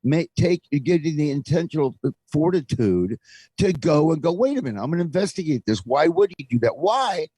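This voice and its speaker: A man's voice: fairly high pitch, very animated, brisk, mellow, slightly rough, dry recording. A middle-aged American man hosting opinionated talk radio, speaking in an exasperated, emphatic way full of rhetorical questions. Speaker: talk show host.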